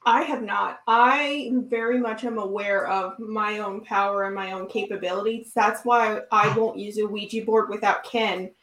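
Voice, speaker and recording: A woman's voice: medium pitch, steady tone, moderate pace, hardly any pauses, a bit bright, roomy sound, slight background noise, poor audio. A woman speaking as a podcast guest, matter-of-fact and self-assured. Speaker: guest, occult practitioner. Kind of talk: casual podcast chat.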